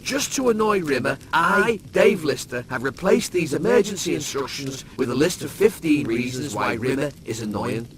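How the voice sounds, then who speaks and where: A man's voice: a little high, big pitch swings, moderate pace, hardly any pauses, a crowd in the background, voice pushed down. A man voicing an exasperated, sarcastic young slob in a sci-fi comedy audiobook. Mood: mocking. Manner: griping, slangy, combative. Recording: noisy background.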